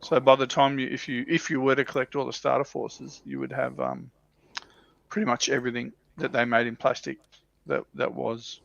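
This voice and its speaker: A man chatting casually on a hobby livestream, haltingly repeating phrases as he thinks aloud, with muffled audio. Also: medium pitch, little pitch movement, gravelly, a bit bright, no room sound. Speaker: livestream panellist on a tabletop wargaming show.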